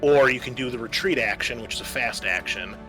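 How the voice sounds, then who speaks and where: A man's voice: mid-range voice, a little fast, sharp tone, soft background music. A man acting as game master, casually narrating a tabletop roleplaying game and explaining rules in informal, sweary talk.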